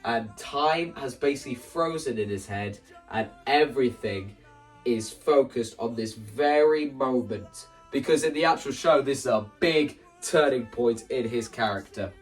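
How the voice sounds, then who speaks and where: A young man talking casually and explaining his creative ideas in an animated, theatrical way. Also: medium pitch, unhurried, distant mic, faint music behind, poor audio. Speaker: video creator presenting a stage play concept.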